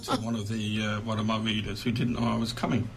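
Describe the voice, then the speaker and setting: A man's voice: fairly low pitch, little pitch movement, fast talker, creaky, a little hiss or hum, low-quality recording. A man being interviewed as an author, chatting conversationally with self-deprecating humour.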